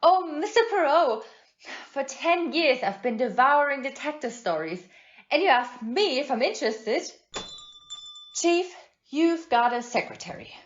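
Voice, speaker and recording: An amateur actress in an audio play playing an excitable, plucky American female mystery fan who talks in breezy, slangy exclamations. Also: high-pitched, measured pace, muffled recording, slight background noise. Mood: eager.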